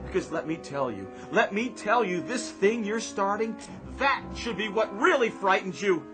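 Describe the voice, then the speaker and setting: Radio drama: indignant accused husband, desperate and biting, swinging widely in pitch. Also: male voice, animated, normal speed. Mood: furious. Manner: emphatic, sarcastic, exasperated. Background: background music.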